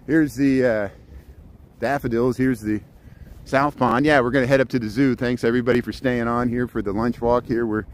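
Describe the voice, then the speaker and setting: A middle-aged man from Indiana with a Midwestern American accent, chatting casually and haltingly as he livestreams a walk outdoors. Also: mid-range voice, steady tone. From Indiana, United States. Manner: halting, chatty, jumping between subjects. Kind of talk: casual rambling walk-along livestream chat. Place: outdoors, walking in a city park. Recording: noisy outdoor ambience with traffic.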